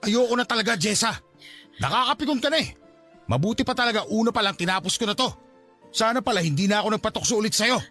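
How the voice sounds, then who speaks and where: High-pitched, callous Filipino lover. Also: male voice, expressive, fast, bright, forceful tone, faint music behind, full character voice. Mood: fed up. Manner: scolding, dismissive. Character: irritable, evasive, callous male lover. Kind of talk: radio drama acting.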